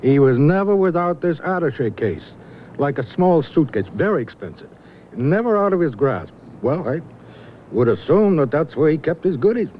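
Wry, knowing gossip, swinging pitch, theatrical emphasis, tinny vintage radio sound with hiss. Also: male voice, very animated, normal speed. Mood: wry, gossipy. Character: gossipy, knowing man sizing up an oddball acquaintance. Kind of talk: 1970s radio drama acting. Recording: tinny, band-limited old radio recording with hiss.

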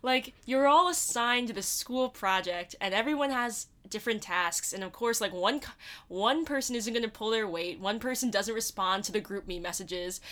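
A woman's voice: mid-range voice, a bit bright. A young woman, co-hosting a podcast in rambling casual chat with many hesitant "um" fillers. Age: young adult. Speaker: podcast co-host.

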